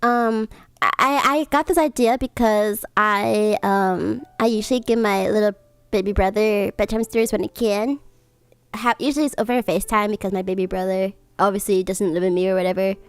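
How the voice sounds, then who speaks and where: A woman's voice: mid-range voice, normal speed, clear, resonant tone, no room sound. A woman livestreamer with a cutesy, gushing way of talking, chatting to viewers and reading bedtime stories. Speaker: streamer, host.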